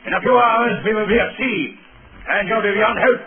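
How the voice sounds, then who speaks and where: An actor in 1930s radio drama style playing an arrogant, menacing spy ringleader who sneers and barks commands. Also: male voice, high-pitched, fairly quick, over a noisy crowd. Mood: imperious. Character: arrogant, threatening male spy ringleader villain. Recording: tinny, band-limited 1930s radio recording with background clamor.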